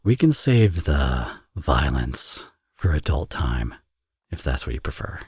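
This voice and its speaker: A man's voice: a bass voice, sing-song, slow pace, a little mellow, slightly rough, dry recording, clean studio sound, exaggerated voice. A man playing a teasing, flirtatious villain and doting father in an intimate romance audio roleplay. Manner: playful, smug, sarcastic teasing.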